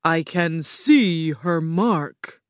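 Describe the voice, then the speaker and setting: A woman voicing a giant, stern male wizard head from a storybook, with slow, loud, imposing pronouncements. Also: sits low, animated, dry recording, clean studio sound, heavily acted. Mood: stern, questioning. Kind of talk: storybook character voice.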